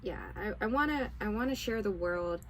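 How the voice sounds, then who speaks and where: A woman in her twenties from Hawaii, a guest in a casual podcast interview, talking easily with fillers and soft laughs. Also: medium pitch, lively intonation, normal speed. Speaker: guest, English teacher and content creator. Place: home near a train line in Tokyo.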